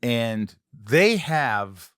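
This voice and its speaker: A man's voice: medium pitch, very animated, very slow, a bit bright, clear, resonant tone, no room sound, clean studio sound. A man hosting a casual conversational interview, asking questions and musing aloud. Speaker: podcast host, interviewer.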